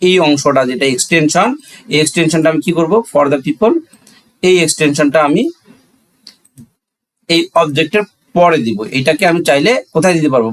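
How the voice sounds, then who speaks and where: A man with a Bangladeshi accent teaching a lesson step by step, repeating key phrases and asking questions that he answers himself. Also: a little high. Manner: didactic, asks and answers own questions. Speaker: English grammar teacher. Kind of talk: classroom-style lesson explanation.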